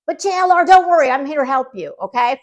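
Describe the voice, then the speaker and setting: A woman's voice: high voice, expressive, quick pace. A woman giving casual gossip commentary in a sarcastic, mocking way, as the host of her own online show.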